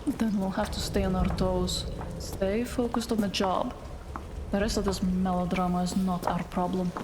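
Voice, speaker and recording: Cyberpunk noir drama: hard-nosed, scolding female partner acted by a woman, mid-pitched, blunt, in long reverb over noisy ambience. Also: little pitch movement, normal speed, natural voice. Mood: businesslike, impatient. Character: tough, no-nonsense, foul-mouthed female partner in noir cyberpunk. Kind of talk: noir audio drama dialogue.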